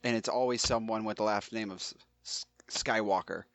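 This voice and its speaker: A man's voice: lowish voice, fairly even intonation, a little fast, fairly bright tone, dry recording. A man co-hosting a podcast in a loose, casual chat style. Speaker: podcast co-host.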